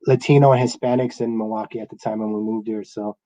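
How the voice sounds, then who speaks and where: A man from Guatemala whose first language is Spanish, hosting a casual interview with a relaxed, stammering delivery. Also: on the low side, quick pace, rough recording. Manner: relaxed, hesitant with stammered restarts. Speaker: radio host.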